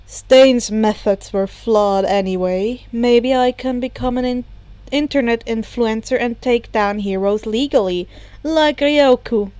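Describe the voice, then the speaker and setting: A woman voicing a pensive male ex-villain in a story, slow and deliberating. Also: on the high side, a little mellow, clear, resonant tone, no room sound, lightly acted.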